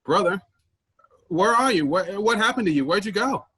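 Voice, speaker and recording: A man acting in a staged play reading as an earnest, wistful man searching for his vanished sibling, with a husky voice. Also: sits high, animated, normal speed, pushed and bright, full character voice. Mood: urgent, searching.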